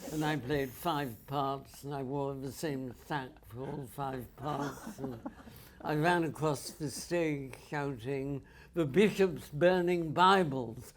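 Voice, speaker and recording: Slow, wry man recalling stories. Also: mid-range voice, slight background noise. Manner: dry, wry storyteller who understates the humor. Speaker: audience panelist. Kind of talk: reminiscing in a public panel chat.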